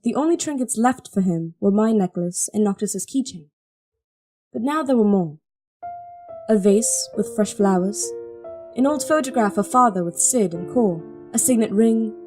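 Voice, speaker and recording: A woman plays a devoted teenage princess in an audio drama. Her voice is fairly high and on the soft, mellow side, earnest and articulate, with light music underneath. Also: natural voice. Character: earnest, devoted young princess, seventeen, daughter of king. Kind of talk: audio drama acting with first-person narration.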